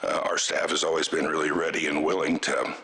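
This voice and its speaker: A middle-aged man with a cold, giving halting farewell thanks as chair at a board meeting, full of fillers and restarts. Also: extremely low pitch, level tone, creaky, bright, forceful tone. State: has a cold, voice strained. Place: meeting room.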